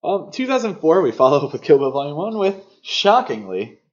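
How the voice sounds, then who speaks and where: A man co-hosting a podcast, discussing films conversationally in a measured, analytical and opinionated way. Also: a little high, very animated, highs cut off. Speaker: podcast co-host.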